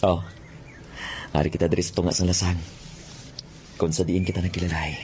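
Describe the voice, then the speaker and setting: A man's voice: deep voice, flat delivery, a little fast, a little mellow, slight background noise, natural voice. A man with a Filipino Hiligaynon accent playing a lovestruck, anxious young man and devoted son in a radio drama, stammering with emotion. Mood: tender, happy.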